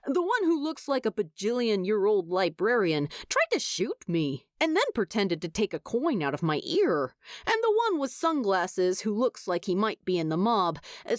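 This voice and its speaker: A woman's voice: mid-range voice, no echo, studio quality. A woman voicing a candid, unimpressed child giving a rambling, matter-of-fact account in an audiobook.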